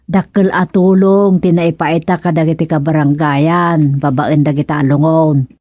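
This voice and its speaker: A woman's voice: sits low, steady tone, moderate pace, dark tone, no echo. An actress playing a practical, chatty village mother in a radio comedy drama. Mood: explaining.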